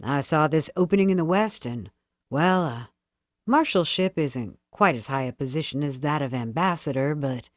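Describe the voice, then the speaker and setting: A woman voicing a calm, well-bred younger man with a light, gentlemanly manner in a storybook reading. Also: deep voice, moderate pace, no room sound, studio quality.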